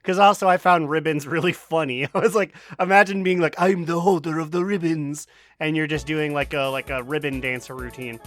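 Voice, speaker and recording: A man's voice: a little high, steady tone, fairly quick, no room sound, faint music behind. A man co-hosting a podcast, talking in a casual, joking way. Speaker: podcast host.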